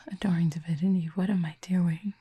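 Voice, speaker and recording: Woman acting a soft, husky, low-voiced Cupid. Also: steady tone. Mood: hesitant, softened. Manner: measured, gentle, slightly formal phrasing. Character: wry, tender, guarded female Cupid talking to a vampire. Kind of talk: intimate audio roleplay acting.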